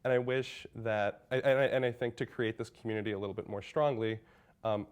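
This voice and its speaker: A man's voice: on the low side, fairly even intonation, brisk, clear, resonant tone. A young man, a college senior, giving earnest interview answers with frequent restarts and fillers. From United States. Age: young adult.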